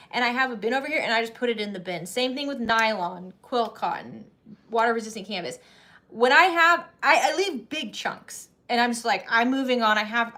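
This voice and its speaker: A chatty woman in her thirties, hosting a casual sewing livestream. Her voice sits a little high, and she laughs often and restarts her sentences as she talks. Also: bright, forceful tone. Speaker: sewing channel host.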